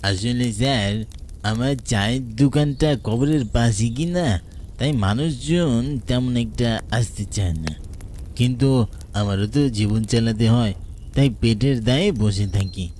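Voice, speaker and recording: Village tea-stall uncle male actor: mid-pitched, rural Bengali dialect, folksy and cautioning, faint noise behind. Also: unhurried, darkish tone, natural voice. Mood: resigned. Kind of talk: horror cartoon acting.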